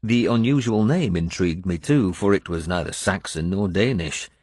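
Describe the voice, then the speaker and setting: A man narrates a historical adventure audiobook in a deep voice. His delivery is dramatic and lively in its melody, following the scripted prose closely. The sound is dry and intimate. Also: moderate pace, dry recording.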